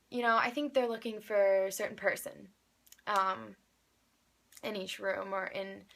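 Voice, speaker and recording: Woman vlogger, mid-pitched with pronounced fry. Conversational, informal, rambling storytelling delivery. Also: fairly bright tone. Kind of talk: casual vlog storytelling.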